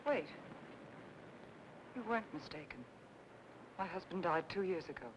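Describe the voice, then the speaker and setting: An actress playing a refined young widow, wary but playful, in 1940s film noir style. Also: female voice, expressive, measured pace. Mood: startled, wary. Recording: noisy old film soundtrack with rumble.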